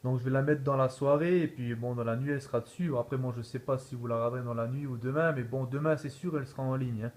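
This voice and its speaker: A man's voice: medium pitch, steady tone, quick pace, hardly any pauses, a little mellow. A man chatting casually as an amateur radio operator, in an easygoing way with frequent repeated tag words. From France. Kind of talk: casual radio contact chat.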